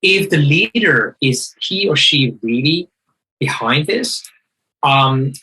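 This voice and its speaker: A man in a conversational discussion, talking in an animated, emphatic way and often rephrasing mid-sentence. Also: fairly high pitch, takes it slowly, breathy edge, poor audio. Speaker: guest speaker.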